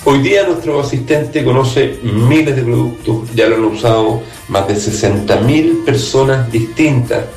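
A Chilean startup CEO giving a scripted, persuasive pitch and speaking straight to the listener. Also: male voice, a little slow, few pauses, darkish tone, roomy sound, distant mic, soft background music. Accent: Chilean Spanish.

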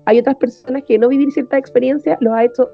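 A woman's voice: high voice, steady tone, fast, vocal fry, narrow, tinny audio, soft background music. A woman hosting an interview, talking fluently and conversationally. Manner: fluent, conversational, uses colloquial fillers. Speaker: interviewer, host. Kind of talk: interview chat.

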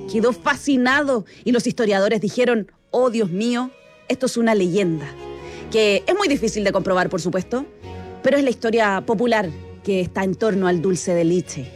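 A woman with a Chilean accent presenting a chatty live radio show, speaking directly to listeners and her colleague in a conversational way. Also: on the high side. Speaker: radio host. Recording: music bed behind studio radio voice. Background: background music.

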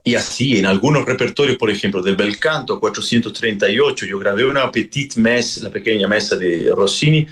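Male singer, lowish voice, reflective and explanatory interview guest. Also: brisk, hardly any pauses, fairly bright tone, a little hiss or hum. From Chile. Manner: thoughtful, explanatory, with frequent fillers. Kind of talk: conversational radio interview.

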